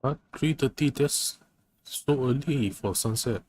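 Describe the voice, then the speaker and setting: A man's voice: mid-range voice, measured pace, a little mellow, slight background noise. A man doing casual live-stream game commentary, musing to himself in a hesitant way. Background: game music.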